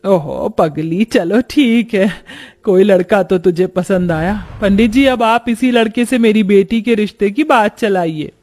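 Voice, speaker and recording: A man's voice: high voice, measured pace, few pauses, darkish tone, faint music behind. A man voicing a caring middle-aged mother who reassures her daughter in a cartoon story. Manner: reassuring, affectionate.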